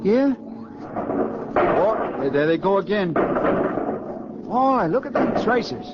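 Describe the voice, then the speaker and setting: An actor playing an excitable, slangy young serviceman in a 1940s radio drama, on a muffled, noisy old recording. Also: high voice, very slow, heavily acted. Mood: excited. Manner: slangy, exclamatory.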